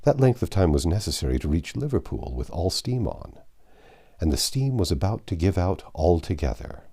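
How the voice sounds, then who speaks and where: Low-voiced man reading a story aloud. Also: very animated, normal speed, vocal fry, mellow, breathy edge. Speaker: audiobook narrator.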